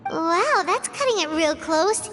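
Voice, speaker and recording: A tiny, excitable floating sprite girl chatters away in a very high, bouncing voice that leaps all over the place. She talks about herself in the third person, and game music plays clearly behind her. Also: a little slow. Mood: wary. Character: tiny chirpy female floating companion creature. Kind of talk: video game voice acting.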